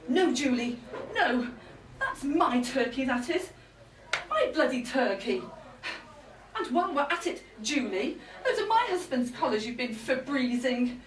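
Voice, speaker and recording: A woman's voice: sits high, sing-song, measured pace. A woman playing an irritable, aggrieved middle-aged wife in a live comic monologue, complaining indignantly. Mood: irritated, snappish. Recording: roomy live event, distant mic.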